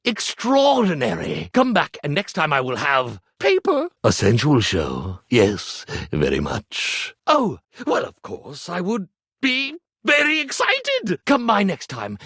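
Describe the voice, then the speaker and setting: A male actor voicing a grandiose, lovestruck magician who is a living iron in a dating game, with florid theatrical delivery and dramatic pauses. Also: medium pitch, a bit bright, slightly rough, no room sound, clean studio sound, exaggerated voice.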